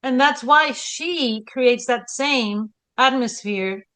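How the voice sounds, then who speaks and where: A woman's voice: high voice, takes it slowly. A woman from Spain with a Spanish accent, a historian co-hosting a casual podcast chat, telling stories with rhetorical questions and acted-out quotes. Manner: animated storyteller, rhetorical questions, acts out quotes. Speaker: historian co-host.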